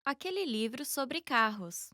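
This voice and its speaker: Lively, dry-recorded woman reading a dialogue. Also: high voice, measured pace, no room sound. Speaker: dialogue narrator and speaker. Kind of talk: scripted listening dialogue.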